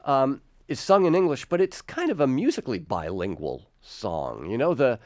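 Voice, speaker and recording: A man hosting a conversational studio music interview, asking thoughtful questions in a halting, exploratory way. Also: mid-range voice, very animated, no room sound. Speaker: radio host and interviewer. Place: radio studio.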